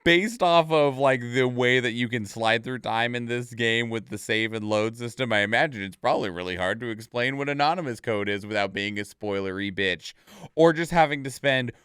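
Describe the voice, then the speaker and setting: A man's voice: medium pitch, normal speed, a bit bright, clean tone, no room sound, clean studio sound. A man giving casual, off-the-cuff reaction commentary as a streamer, rambling and thinking aloud in stop-and-start phrases. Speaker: video creator and streamer reacting to game trailers.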